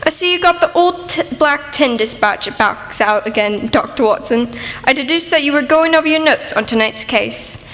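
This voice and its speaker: A woman's voice: high-pitched, lively intonation, normal speed, a bit bright. A woman playing a polite, keen radio show announcer in old-time style, recorded in an echoey hall. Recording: echoey hall, music behind.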